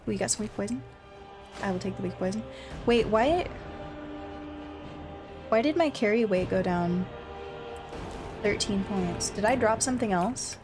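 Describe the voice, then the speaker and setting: A woman's voice: medium pitch, unhurried, pauses often, over music. A woman giving casual, chatty gameplay commentary, thinking aloud with playful little exclamations. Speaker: gamer streaming a blind play-through.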